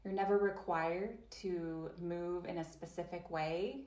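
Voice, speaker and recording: A woman voicing an interpreted guided chair yoga session, speaking slowly and gently, with long pauses and soft invitations. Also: lowish voice, fairly even intonation, echoey room.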